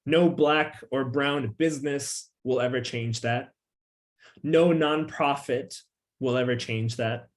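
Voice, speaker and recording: A young man, a featured poet, who talks in a relaxed, loose way with plenty of filler words and reads his poems with an emphatic, rising refrain. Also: mid-range voice, slow. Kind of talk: casual chat and poetry reading.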